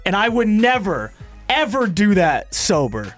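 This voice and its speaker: A young man co-hosting a radio show, telling a story in a playful, animated way. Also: high voice, measured pace, soft background music. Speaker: radio co-host. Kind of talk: casual storytelling banter.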